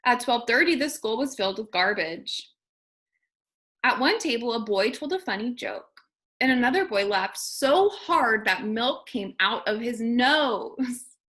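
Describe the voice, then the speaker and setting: A woman, a kindergarten teacher, reading a children's storybook aloud expressively and deliberately to young listeners. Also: fairly high pitch, animated, fairly bright tone.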